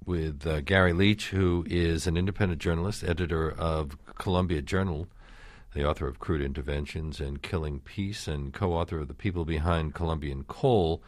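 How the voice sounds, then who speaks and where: Calm radio interviewer, a man with a very low voice, dry booth sound. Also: no echo. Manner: calm and inquisitive, slow with pauses.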